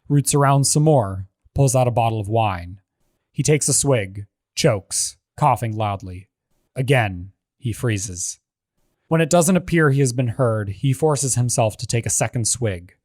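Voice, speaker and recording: A man reads out screenplay action lines in a measured, even delivery at a middle pitch. The recording is dry and close, with almost no room sound. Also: dry recording. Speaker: narrator reading stage directions. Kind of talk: scripted narration of a screenplay.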